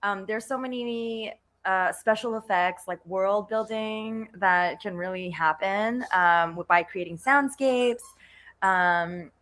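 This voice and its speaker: A woman talking casually as a panelist on a video call, chatty and halting, with giggly asides. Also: medium pitch, a bit bright. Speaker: playwright panelist.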